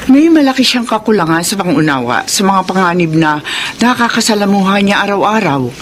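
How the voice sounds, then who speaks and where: A man playing a formal doctor in a Filipino radio drama, speaking in a measured, instructive way with a Filipino accent. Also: sits high, very animated, a bit bright, slight background noise. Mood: professional, serious. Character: formal doctor explaining a child's condition.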